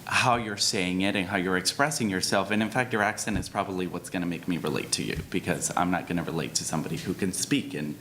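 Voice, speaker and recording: A man's voice: low-pitched, fast talker, few pauses, fairly bright tone, slight background noise. A man speaking on a discussion panel, giving his views in an earnest, opinionated way.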